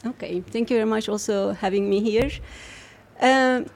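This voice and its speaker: Woman guest researcher, measured explainer. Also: a little high, fairly even intonation, vocal fry. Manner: careful, measured explaining with small laughs and fillers. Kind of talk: podcast interview answers.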